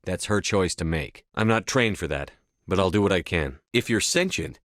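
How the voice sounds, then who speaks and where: A man's voice: low voice, expressive, moderate pace, a bit bright, dry recording, clean studio sound. An actor playing a blunt, resolute male military commander in a sci-fi video game, with terse, assertive, controlled delivery. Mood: firm, composed. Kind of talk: scripted video game dialogue.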